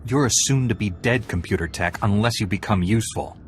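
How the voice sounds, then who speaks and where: A cool, intimidating space-knight warrior acted by a man, low in pitch. His delivery is blunt and authoritative game dialogue, with faint noise behind. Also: fairly quick, natural voice. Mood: commanding. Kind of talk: dramatic video game acting.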